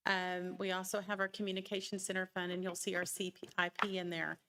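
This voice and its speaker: A woman's voice: fairly low pitch, flat delivery, a little fast, pushed and bright. A woman city finance official giving a matter-of-fact, explanatory budget presentation at a council meeting. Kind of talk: formal public budget presentation. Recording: noisy public meeting recording with clicks.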